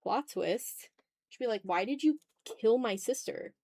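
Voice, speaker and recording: A woman's voice: fairly high pitch, lively intonation, measured pace, dark tone, no echo, clean studio sound. A woman chatting casually as she draws on a livestream, teasing her viewers with playful mock exasperation. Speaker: art streamer. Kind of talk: casual livestream chat while drawing.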